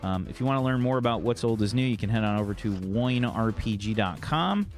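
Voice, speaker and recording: A man's voice: fairly low pitch, expressive, a little fast, faint music behind. A man as game master, rambling through a casual stream wrap-up with many ums and thanks.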